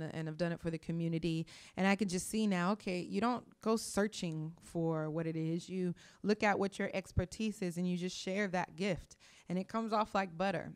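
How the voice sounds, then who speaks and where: A woman's voice: lowish voice, clear, resonant tone. A woman from California, a hip hop artist and hairstylist, talking passionately at length as an interview guest, with fillers. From Sacramento, California. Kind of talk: casual interview talk.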